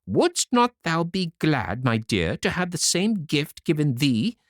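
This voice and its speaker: A man voicing a greedy, quick-tempered mother who scolds loudly in a fairy tale reading. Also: a little high, very animated, no echo, clean studio sound, pitched above the performer's own voice. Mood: scheming. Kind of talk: storybook reading.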